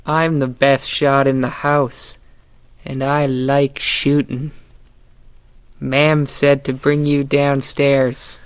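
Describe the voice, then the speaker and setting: A man's voice: medium pitch, flat delivery, takes it slowly, smooth, close to an everyday voice. A man playing a simple, trigger-happy boy who obeys his mother, in a childlike, dim-witted manner, in an old-time radio drama. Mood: menacing glee.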